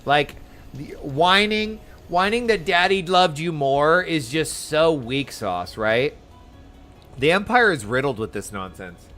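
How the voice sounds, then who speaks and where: A man's voice: a little high, lively intonation, slow pace, a bit bright. A man chatting casually while live streaming a game, giving loose commentary as he plays. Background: game music.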